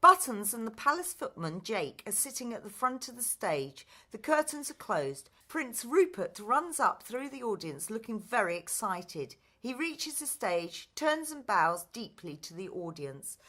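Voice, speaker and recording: A woman's voice: on the high side, very animated, unhurried, few pauses, pushed and bright. A woman reading scripted stage directions as narrator of a radio play.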